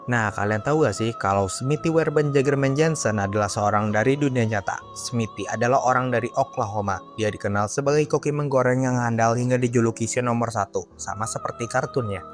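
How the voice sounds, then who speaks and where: A man's voice: mid-range voice, fairly quick, few pauses. A man narrates stories for online video, casually addressing viewers in slang. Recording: music behind the voice. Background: background music.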